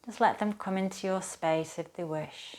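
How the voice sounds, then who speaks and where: A woman speaking as a yoga teacher, guiding a relaxation practice in a soothing, gentle, unhurried manner with pauses. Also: mid-range voice, fairly even intonation. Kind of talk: guided relaxation, yoga nidra instruction.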